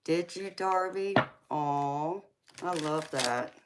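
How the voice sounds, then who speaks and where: Very low-voiced, slow woman. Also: very noisy. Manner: relaxed, chatty, laughs often, calls viewers honey and baby. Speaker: tarot reader chatting with live viewers. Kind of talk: casual live-stream chat reading comments.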